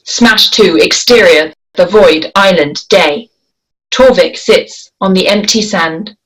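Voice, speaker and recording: A woman reading stage directions aloud at a script table read, in a deliberate, measured way. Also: lowish voice, a bit bright, poor audio.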